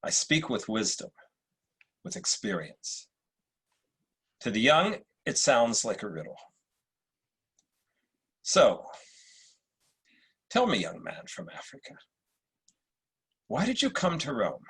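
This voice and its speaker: An actor playing a wise Roman emperor in a drama read-through, speaking deliberately with weighty pauses like an orator. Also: male voice, medium pitch, wildly swooping pitch, gravelly, a bit bright, slightly rough, heavily acted. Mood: probing, commanding. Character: wise, measured Roman emperor, philosophical and probing. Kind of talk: dramatic table-read acting.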